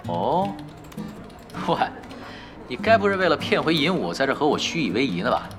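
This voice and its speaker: Dry, casual man with lively intonation. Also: a little high, normal speed, music in the background. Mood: dry, teasing. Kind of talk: radio drama acting.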